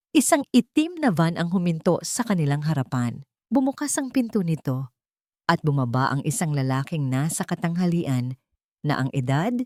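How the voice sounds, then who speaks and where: A woman's voice: deep voice, a little mellow, no room sound, clean studio sound. A woman reads a scripted romance drama as a storyteller.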